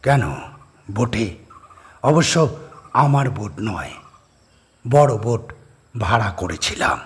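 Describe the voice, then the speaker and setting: A man voicing a lonely, secretive middle-aged farmer on an island, speaking low, soft and measured. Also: animated. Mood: quiet, guarded.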